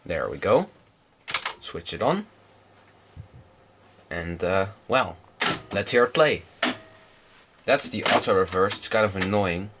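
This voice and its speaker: A young man presents in English with a fairly low voice. He has a slight cold and talks informally, feeling his way through explanations like a hobbyist showing off equipment. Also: very animated, slow pace, long pauses, noisy background. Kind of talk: casual product demonstration.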